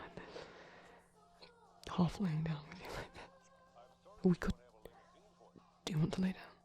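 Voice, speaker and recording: Man as a whispering, shy vampire boyfriend. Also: high voice. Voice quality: soft, breathy whisper. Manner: hesitant, apologetic, rambling. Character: shy, gentle male vampire boyfriend, sleepy and devoted. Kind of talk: ASMR roleplay, intimate rambling. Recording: TV soundtrack playing behind the voice. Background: film audio and music from a TV.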